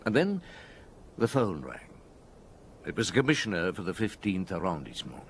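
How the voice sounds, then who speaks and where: Low-voiced, shrewd police chief inspector. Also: male voice, sing-song, normal speed, a little hiss or hum. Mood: grave, reflective. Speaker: lead actor and narrator. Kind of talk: detective audio drama, narration and dialogue.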